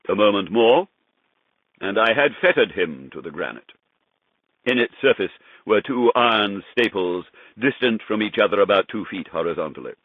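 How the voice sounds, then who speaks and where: A man narrating a gothic story in a theatrical, deliberate, dramatic style. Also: fairly low pitch, husky, dry recording, phone-quality sound. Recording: thin band-limited radio-like sound, dry.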